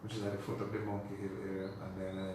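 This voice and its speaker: Dominican guy being interviewed, rambles along casually, far from the mic with clear noise behind him. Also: low voice, completely flat delivery, very fast. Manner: rambling, often says "you know". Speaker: interview guest. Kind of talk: casual conversational recollection. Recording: very echoey room, distant mic, noisy.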